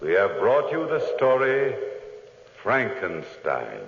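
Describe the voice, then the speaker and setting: A man's voice: lowish voice, big pitch swings, slow. A man announcing in theatrical 1940s radio style, solemn and drawn out. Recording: cavernous reverb, noisy muffled radio recording.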